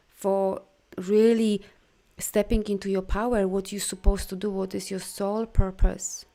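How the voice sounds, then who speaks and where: A woman's voice: mid-range voice, gravelly, warm tone. Earnest woman with a Polish accent. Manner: earnest, heartfelt, halting non-native phrasing. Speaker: life coach. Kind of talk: live video talk.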